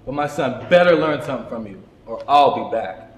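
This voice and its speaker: A man's voice: medium pitch, lively intonation, fairly quick, lightly acted. A man playing a stubborn, domineering, indignant father in an amateur stage drama. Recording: echoey hall, faint noise.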